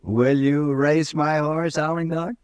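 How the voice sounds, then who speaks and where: An actor playing a brash, mocking young army lieutenant in a 1950s radio drama, swaggering and quick to laugh at others. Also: male voice, fairly high pitch, flat delivery, smooth, no room sound, highs cut off. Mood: taunting. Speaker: cavalry lieutenant.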